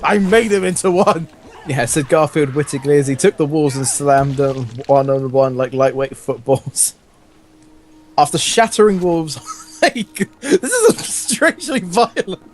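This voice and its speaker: Young man, slightly high voice, casual comic reading, mocking, amused commentary. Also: measured pace, darkish tone, slight background noise. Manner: mocking, often breaks up laughing. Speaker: gaming video host reading fan fiction.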